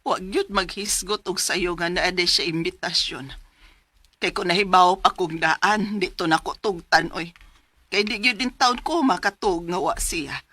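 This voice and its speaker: A woman's voice: fairly low pitch, unhurried, pushed and bright, dry recording. A woman acting a worried, long-suffering mother in a radio drama, with a Cebuano Filipino accent. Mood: weary, hurt. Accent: Filipino, Cebuano-speaking.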